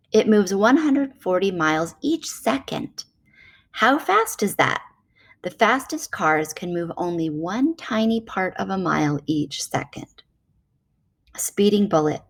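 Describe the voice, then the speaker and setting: A woman reading a nonfiction children's book aloud in a measured, explanatory way. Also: medium pitch.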